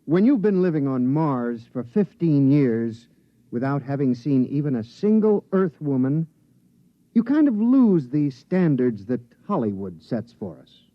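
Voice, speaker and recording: Genial, animated miners' leader. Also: male voice, a little high, no room sound. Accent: American. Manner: expansive, formal address. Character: genial, earnest veteran leader of the Mars miners. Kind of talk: 1950s American radio drama acting. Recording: muffled 1950s radio recording.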